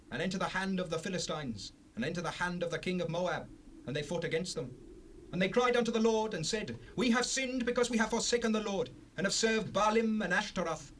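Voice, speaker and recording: Scripture drama, old grey-headed prophet male actor: high voice, stern oratory, distant sound on a noisy cassette rip. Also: lightly acted. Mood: impassioned. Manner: solemn, declamatory, formal. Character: old grey-headed prophet, stern, righteous leader addressing his people.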